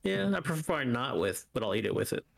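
A man's voice: medium pitch, sing-song, a little fast, vocal fry. A man co-hosting a podcast in casual, rambling chat, teasing and drifting into asides. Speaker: podcast co-host. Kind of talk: casual chat with live chat banter.

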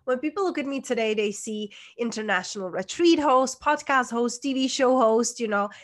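A woman's voice: on the high side, expressive, moderate pace. A woman with a Czech accent, a guest coach telling her personal story in an interview, earnest and emphatic. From Czech Republic.

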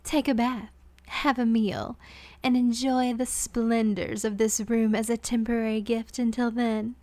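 A woman voicing a cruel, seething evil queen who speaks slowly and menacingly. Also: fairly high pitch, flat delivery, warm tone, no room sound, natural voice. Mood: haughty. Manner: slow, menacing, snarling.

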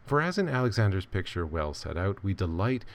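A man reading a literary essay aloud with measured, deliberate phrasing. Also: low voice, very animated. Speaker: reader.

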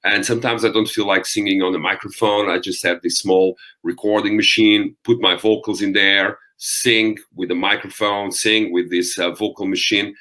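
Deep-voiced Portuguese metal singer for an interview, low and bright, hesitant pauses. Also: male voice, steady tone. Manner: thoughtful, frequent ums and restarts. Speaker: metal vocalist guest. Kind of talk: interview conversation.

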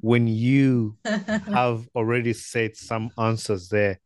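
A man's voice: mid-range voice, very animated, slow, darkish tone, dry recording. A man with a Ugandan English accent hosting a casual podcast interview, rambling and hedging with lots of "like"s. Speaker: podcast host.

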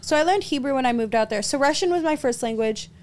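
A woman's voice: high-pitched, expressive, quick pace, a little mellow. A young woman from Los Angeles, a podcast guest telling stories in casual chat, full of "like" fillers. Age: young adult. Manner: storytelling, frequent "like" fillers, laughs often.

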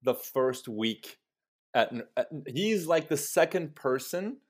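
A man in his thirties from Turkey, telling personal stories as an interview guest and acting out remembered dialogue in an animated manner. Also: mid-range voice, measured pace. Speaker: interview guest, startup co-founder. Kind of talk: conversational personal storytelling.

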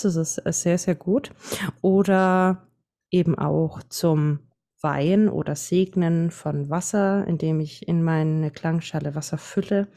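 A woman in her late twenties with a Franconian German accent, a podcast guest explaining things at length in a relaxed way. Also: deep voice. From Franconia, Bavaria. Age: 20s. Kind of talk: casual podcast chat.

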